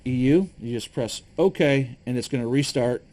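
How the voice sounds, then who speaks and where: A man from Michigan talks through survival gear in an easygoing, unscripted way. He stumbles and restarts often and fills pauses with ums. His opinions come out plainly. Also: medium pitch, dark tone. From Michigan, USA. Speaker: preparedness gear reviewer. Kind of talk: casual gear review to camera.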